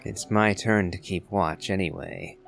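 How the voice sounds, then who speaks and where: A man giving low-key video game playthrough commentary, musing in a measured, wry way. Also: steady tone, creaky. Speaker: gamer playing and voicing reactions. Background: game music.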